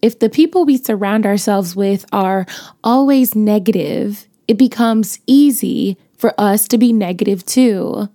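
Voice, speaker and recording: A young adult woman hosting her podcast, chatty and playful, her voice raspy as it recovers from being lost, with features. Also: medium pitch, measured pace, dark tone, dry recording, studio quality. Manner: chatty, playful, sprinkles in laughs and slang. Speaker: podcast host. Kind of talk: casual motivational podcast talk.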